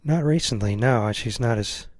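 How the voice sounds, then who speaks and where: A man's voice: mid-range voice, moderate pace, darkish tone, dry recording. A man hosting a podcast, chatting casually with his guest in a conversational interviewing style. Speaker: podcast host.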